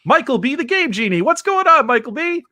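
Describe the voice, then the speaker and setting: A man's voice: extremely high pitch, sing-song, a little fast, pushed and bright, dry recording. An enthusiastic man hosting a live video game talk show, repeating himself for emphasis. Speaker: show host.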